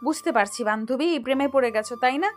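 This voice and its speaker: A woman's voice: high-pitched, brisk, soft background music, natural voice. A woman playing a teasing, curious schoolgirl best friend in an audio love story.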